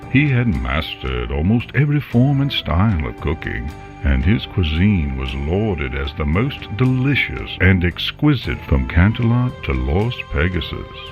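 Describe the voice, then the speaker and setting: A man playing a grave, grandiose storyteller, narrating a legend with solemn, theatrical, measured phrasing. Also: deep voice. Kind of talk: dramatic scripted narration. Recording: dull sound under loud music. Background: music.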